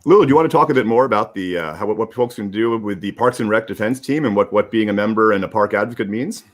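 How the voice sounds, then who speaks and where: A man's voice: fairly low pitch, fast talker. A man co-hosting an online live stream, explaining practical details in an informal, unscripted way. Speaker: livestream co-host and producer.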